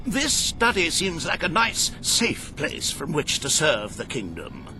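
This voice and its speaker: An actor playing a cowardly, self-serving official in a comic video game role, pompous, florid and fussy, in an echoey hall. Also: male voice, on the high side, wildly swooping pitch, measured pace, noisy background. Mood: smug, fussy.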